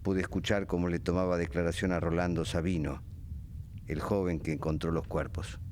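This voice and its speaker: Actor as very deep-voiced male crime narrator. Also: level tone, normal speed, darkish tone, slight background noise, lightly acted. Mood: grave. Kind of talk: radio drama narration.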